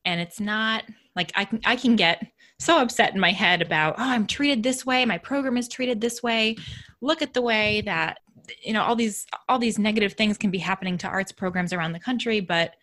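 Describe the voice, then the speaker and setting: A woman who teaches elementary music, talking reflectively in a casual interview, soft-spoken and thinking aloud. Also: medium pitch, normal speed. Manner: reflective, thinks aloud with restarts and light laughs.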